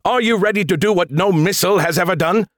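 A man voicing a smug, mischievous artificial intelligence in an audiobook, with a theatrical, drawn-out delivery. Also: on the high side, sing-song, no echo, studio quality, voice pushed up. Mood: rousing. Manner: showy, drawn-out dramatic delivery.

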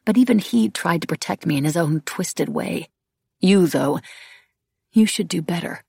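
Woman acting a bitter, desperate young woman, husky voice. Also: on the low side, darkish tone, dry recording, studio quality. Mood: bitter, challenging. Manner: emotional, pleading, halting. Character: young woman, bitter, desperate, irreverent, praying to absent god. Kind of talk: audiobook character dialogue.

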